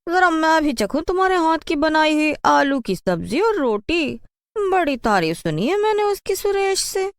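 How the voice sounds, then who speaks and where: A woman plays a scheming cartoon housewife. Her high voice is haughty and commanding, recorded dry and close. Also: big pitch swings, normal speed, dry recording. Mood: haughty bossy. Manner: commanding, mocking. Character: bossy, scheming first wife, haughty and mean. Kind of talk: cartoon story acting.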